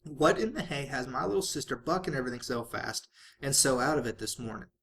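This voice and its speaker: A blunt country stallion voiced by a story reader, folksy and concerned. He talks like an exasperated big brother in a storybook, with animated pitch and a little noise behind. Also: a little fast. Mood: puzzled.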